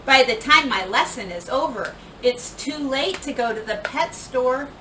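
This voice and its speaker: Animated, higher-voiced woman reading a storybook. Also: measured pace. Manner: animated, emphatic delivery with acted-out dialogue and sound words. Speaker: teacher reading a children's picture book aloud. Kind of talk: expressive storybook read-aloud. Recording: roomy, distant microphone, clear background noise.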